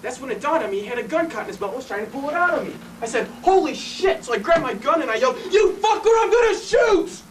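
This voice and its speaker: Intense, blunt city fireman, played by a man, swearing freely, big pitch swings, stage monologue picked up from a distance. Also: animated, racing, few pauses, background noise. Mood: agitated, intense. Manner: profane, blunt, colloquial storytelling. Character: intense, foul-mouthed city fireman and former cop, male.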